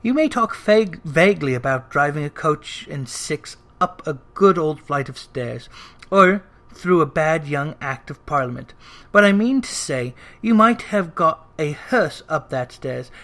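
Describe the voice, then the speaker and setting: A narrator reading a classic story aloud in an expressive, theatrical way, with deliberate, drawn-out emphasis on phrases. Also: medium pitch. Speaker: narrator, audiobook reader.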